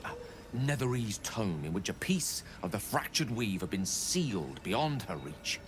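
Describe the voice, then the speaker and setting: An actor playing a learned, earnest wizard in a fantasy video game, speaking in florid, measured, dramatic phrases. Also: male voice, fairly low pitch, big pitch swings, music in the background. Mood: wistful, confessional. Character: learned male wizard, eloquent, theatrical, earnestly remorseful.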